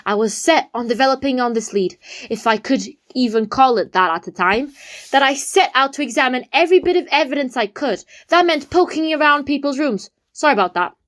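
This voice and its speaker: A woman's voice: high voice, animated, brisk, fairly bright tone. A woman playing an earnest young amateur detective, explaining a case theatrically in an audio drama. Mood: proud, explanatory.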